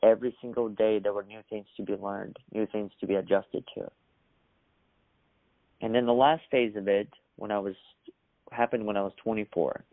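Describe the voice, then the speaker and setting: A young man from Russia, a podcast guest telling his story in a measured, earnest way. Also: lowish voice, fairly even intonation, no room sound. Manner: measured, earnest, occasional restarts. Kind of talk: reflective storytelling. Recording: dry, phone-like narrow sound.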